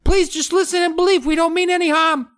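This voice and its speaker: A woman's voice: extremely high pitch, fairly even intonation, quick pace, voice pushed up. A woman voicing a male mischief gnome who keeps insisting, over and over, that he means no harm. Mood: pleading.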